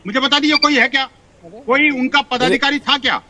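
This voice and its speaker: Male panelist, extremely high and piercing, rapid challenging interjections over a lo-fi feed. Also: bright, forceful tone. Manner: challenging, insistent repeated questions. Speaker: debate panelist. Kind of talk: heated debate interjection.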